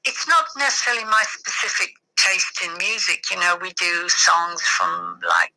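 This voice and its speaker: A woman's voice: fairly low pitch, big pitch swings, normal speed, bright, forceful tone, no room sound, low-quality recording. A middle-aged woman from the west of Ireland with an Irish accent, reflecting on personal experience as a podcast guest in hesitant, thoughtful phrases.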